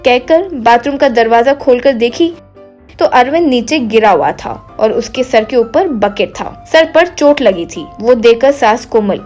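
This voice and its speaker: A woman's voice: on the high side, lively intonation, measured pace, darkish tone. A woman narrator reading a scripted comic story. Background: faint music.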